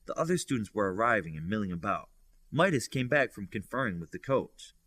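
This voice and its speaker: A man's voice: on the low side, very animated, brisk, fairly bright tone, no room sound. A man reading fiction as an audiobook narrator, in a scripted storytelling style. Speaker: audiobook reader. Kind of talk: scripted fiction narration with lifted character dialogue.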